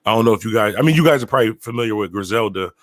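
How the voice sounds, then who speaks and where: A young man rambles through casual interview answers, leaning on "like" a lot. He talks fast, and his voice sounds dry and close, with no room around it. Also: fairly low pitch, animated, no room sound. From Buffalo, New York. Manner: loose, rambling, heavy use of "like". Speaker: DJ guest.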